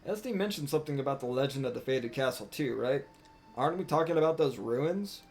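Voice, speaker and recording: A man's voice: mid-range voice, lively intonation, fairly quick, darkish tone, natural voice. A man voicing a small, curious, easily spooked fairy companion while reading video game dialogue aloud. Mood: curious, uneasy. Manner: chatty, questioning, speaks in third person. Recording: music behind the voice.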